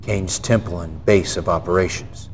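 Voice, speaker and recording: An actor playing a stern, earnest military general, giving a grave, emphatic mission briefing in a video game. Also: male voice, sits low, steady tone, moderate pace, a little hiss or hum, natural voice. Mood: serious, urgent. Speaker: military commanding officer.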